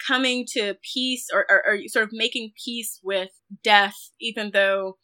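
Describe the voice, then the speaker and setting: A woman with a fairly high, very bright voice talks through film criticism as an interview guest. She is thoughtful and hesitant, feeling her way toward each point and carefully qualifying it. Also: steady tone, measured pace. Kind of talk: reflective film discussion interview.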